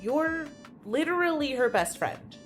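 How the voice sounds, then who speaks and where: A preoccupied but frank young woman in an audio drama. She takes her time, with lively intonation, over faint music. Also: high-pitched, measured pace. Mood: teasing, reassuring.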